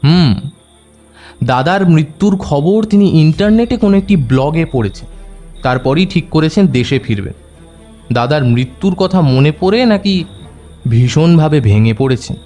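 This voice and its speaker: A man playing a brisk, thoughtful detective in an audio story, speaking in a clipped, conversational way. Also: on the high side, lively intonation, mellow, soft background music. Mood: grave, pensive. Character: brisk, thoughtful male detective, commanding yet casual. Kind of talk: Bengali audio story acting.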